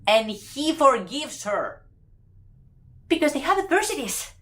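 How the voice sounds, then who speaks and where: Female fan commentator with a fairly high voice. Impassioned, exasperated opinion rant, emphatic and informal. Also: big pitch swings, measured pace, a bit bright. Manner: emphatic, exasperated, repeats phrases for effect; casual speech with swearing.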